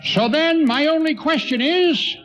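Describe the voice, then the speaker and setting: Very high-voiced eager old vaudeville comedian. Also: male voice, a little slow, a bit bright, faint music behind. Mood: eager, scheming. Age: older. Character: eager old male vaudeville comedian, cheerful, money-minded. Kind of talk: radio play comedy acting. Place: stage before an audience.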